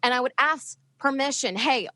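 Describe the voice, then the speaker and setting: A woman from the US East Coast, a medium, talking in a chatty, animated way with frequent laughs. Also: high-pitched, normal speed, no room sound. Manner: chatty, animated, laughs often, many fillers. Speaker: spirit medium guest. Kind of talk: casual podcast interview.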